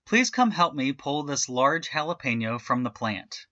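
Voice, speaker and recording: A man's voice: medium pitch, sing-song, unhurried, pushed and bright, no echo, dull audio. A man voicing an old farmer from a storybook, exclaiming and pleading for help. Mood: straining, pleading. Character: old farmer man, weary but determined.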